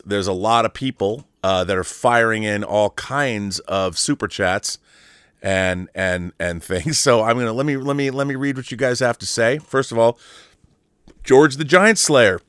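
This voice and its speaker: A man's voice: medium pitch, moderate pace, a bit bright, smooth, no echo, studio quality. A man hosting a live show in casual chat, rambling with frequent restarts and chuckles. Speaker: host.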